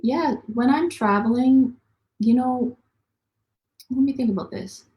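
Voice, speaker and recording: A woman's voice: sits high, unhurried, highs cut off. A young woman talking casually as a guest in an online interview, upbeat and chatty with lots of fillers. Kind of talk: casual video call interview.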